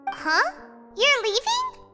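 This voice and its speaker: Tiny fairy girl, extremely high squeaky voice, excitable, video game, loud music behind. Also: slow pace, full character voice. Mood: surprised. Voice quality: squeaky, childlike. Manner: excitable, chirpy, refers to herself by name. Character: tiny cheerful floating fairy companion girl.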